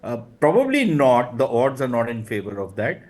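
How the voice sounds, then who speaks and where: Male, Indian accent, mid-range pitch, conversational panel analyst, wry, stop-start phrasing. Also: huge pitch swings, moderate pace, a little mellow. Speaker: guest political analyst. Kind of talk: conversational political commentary.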